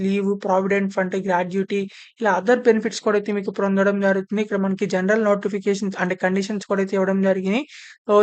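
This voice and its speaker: A woman presenting an informal explainer voice-over, repeating her phrasing and mixing in many English terms. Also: medium pitch. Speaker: presenter of job update videos. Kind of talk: informal explainer narration of a job notification.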